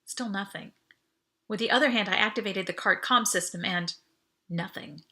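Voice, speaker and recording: Woman reading an audiobook, bright forceful voice, expressive first person storytelling. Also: medium pitch, normal speed. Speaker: audiobook reader.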